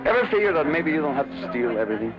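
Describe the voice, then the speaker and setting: A man's voice: fairly high pitch, sing-song, very fast. An actor playing a cool, laconic hired gunman in a western drama, terse and dry-witted. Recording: dull old soundtrack, music behind.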